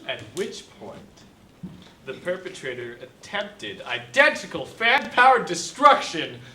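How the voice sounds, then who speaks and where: Man playing an officious, bright-voiced prosecutor. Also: high-pitched, very animated, slow pace, distant mic, heavily acted. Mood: officious, reassuring. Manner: officious, courteous. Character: earnest male fairytale assistant district attorney. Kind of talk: comic stage-play acting. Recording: echoey room, faint noise.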